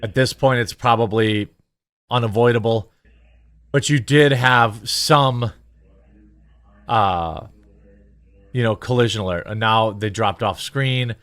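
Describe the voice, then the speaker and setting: Low-pitched man, casual news commentator. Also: fairly bright tone, no room sound, clean studio sound. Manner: conversational, hesitant, thinking aloud. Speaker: commentator and show host.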